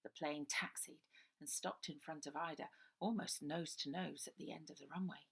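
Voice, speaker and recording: A woman's voice: deep voice, fairly even intonation, moderate pace, creaky, breathy edge. A woman reading her children's novel aloud as a dramatic storybook narration.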